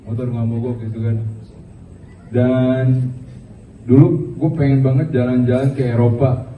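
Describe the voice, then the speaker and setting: A man delivers stand-up at a small open mic, halting and filler-heavy, with bits of English mixed in. He sounds distant from the mic in a roomy space. The audio is thin and phone-like, with a faint hiss underneath. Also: medium pitch, little pitch movement. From Indonesia. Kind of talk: stand-up comedy.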